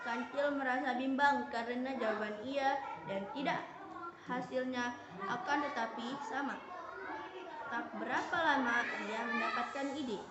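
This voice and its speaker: A woman narrating a fable for a school puppet show, in a steady storybook reading. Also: high-pitched, a little slow. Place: school room. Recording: echoey and noisy room.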